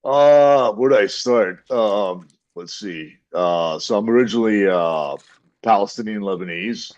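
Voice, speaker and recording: Man from the UAE, very deep voice, hesitant and modest, dull dry muffled audio. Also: unhurried, a little mellow, no room sound. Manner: modest, hesitant, many uh fillers. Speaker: guest. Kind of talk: casual interview talk.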